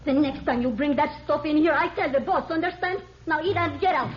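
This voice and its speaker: A woman's voice: sits high, fairly quick, a little hiss or hum, lightly acted. A woman playing a brusque diner waitress. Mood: scolding. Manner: curt, scolding. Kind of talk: 1950s radio drama acting. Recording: dull old radio recording with music.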